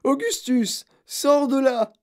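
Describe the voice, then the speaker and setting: A woman voicing a frantic, overbearing mother who shrieks and pleads in a shrill voice, as a storybook reading. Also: fairly quick, close to an everyday voice. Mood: panicked. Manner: hysterical, yelling and pleading.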